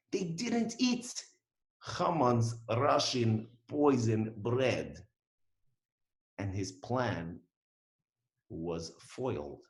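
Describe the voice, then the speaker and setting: A man telling a playful story to children on a video call, jokey and animated. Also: reverberant. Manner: animated, jokey, swooping pitch. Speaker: storyteller for an online Hebrew school. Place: home in Toronto.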